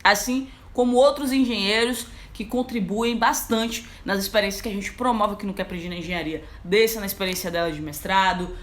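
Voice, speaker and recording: A young Brazilian woman, an engineer, talking casually to her online audience as a mentor, lively and chatty with many fillers. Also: mid-range voice, fairly quick, few pauses. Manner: lively, chatty, frequent fillers like "então, assim". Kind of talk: casual live talk to an audience.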